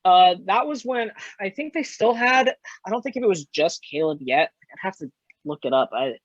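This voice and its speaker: A man's voice: high-pitched, expressive, normal speed, no room sound. Young man with a dull, lo-fi sound. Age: 20s. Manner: rambling, frequent "like" and "you know", swears freely. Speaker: podcast guest, musician. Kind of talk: casual chat.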